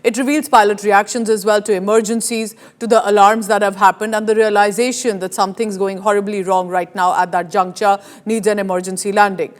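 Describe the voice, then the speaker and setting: A woman news anchor with an Indian accent and a mid-pitched voice. She presents live news emphatically, setting out each point in an explanatory way. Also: little pitch movement, a little fast, hardly any pauses.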